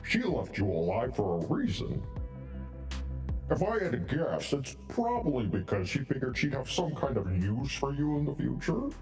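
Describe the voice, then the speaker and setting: An actor playing a protective, plainspoken middle-aged father in a video game, frank and folksy with a quiet threat underneath. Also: mid-range voice, very animated, normal speed, recorded from a distance, faint music behind. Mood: frank, menacing. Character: protective, plainspoken older father of an outlaw woman. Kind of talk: scripted video game voice acting.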